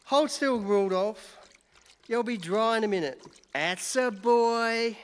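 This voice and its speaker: A man's voice: sits high, slow pace, clear, resonant tone. A man playing an easygoing, wisecracking newspaper reporter in a radio play, folksy and wry. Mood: coaxing, amused. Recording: echoey hall, noisy stage recording.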